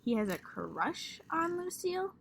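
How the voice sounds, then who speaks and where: A woman voicing a puzzled, earnest little schoolboy who asks slow, questioning corrections. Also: extremely high pitch, sing-song, gravelly, a little hiss or hum, pitched above the performer's own voice, exaggerated voice.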